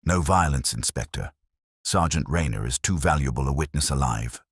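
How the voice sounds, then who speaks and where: A man acts out a shrewd Victorian detective in an audiobook story. The voice is extremely deep and a little husky, with formal, commanding phrasing and varied intonation. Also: lively intonation, normal speed, dry recording, clean studio sound, heavily acted. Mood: accusing, commanding. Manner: formal, incisive, declarative. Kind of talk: dramatic audiobook character reading.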